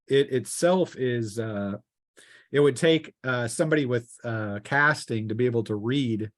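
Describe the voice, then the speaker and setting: A man running a tabletop fantasy game as game master, improvising narration and often pausing mid-sentence. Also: mid-range voice, no room sound, clean studio sound.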